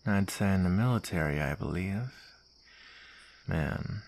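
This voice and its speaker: A man's voice: low voice, expressive, a little slow, a little mellow. A man playing a smug soldier villain in an ASMR roleplay, taunting and drawling with mock sweetness and menace.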